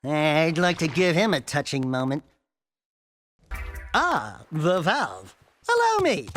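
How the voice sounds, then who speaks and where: A man's voice: fairly high pitch, huge pitch swings, slow, a bit bright, heavily acted. A man voicing a cocky, wisecracking small furry animal sidekick in a video game, brash and slangy. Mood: sardonic, grumbling. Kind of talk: video game cartoon comic acting.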